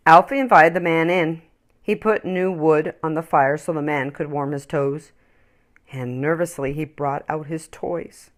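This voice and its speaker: A woman reading a children's story aloud with expressive, emphatic, dramatic phrasing. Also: low-pitched, measured pace.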